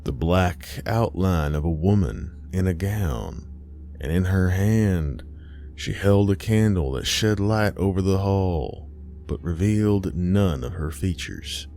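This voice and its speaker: A man's voice: deep voice, animated, takes it slowly, dark tone. A man narrating a scripted Southern Gothic horror story in a folksy storytelling manner. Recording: faint music underneath. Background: faint music.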